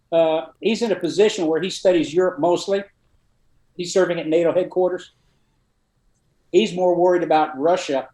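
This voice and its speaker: An older man, a retired army colonel, telling war stories in a dry, matter-of-fact way with many pauses and fillers. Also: fairly high pitch, a little mellow, reverberant. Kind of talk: casual interview storytelling.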